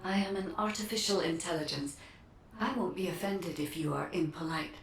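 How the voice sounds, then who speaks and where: An actress voicing a polite, calm female artificial intelligence guide in a video game, measured, formal and literal. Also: lowish voice, roomy sound, slight background noise, lightly acted, poor audio. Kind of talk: video game cutscene acting.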